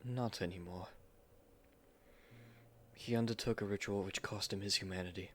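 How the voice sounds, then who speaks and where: A man voicing a devoted young gentleman husband, gentle, earnest and formal, in a romantic audio roleplay. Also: deep voice, steady tone, moderate pace, a little hiss or hum. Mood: earnest, troubled.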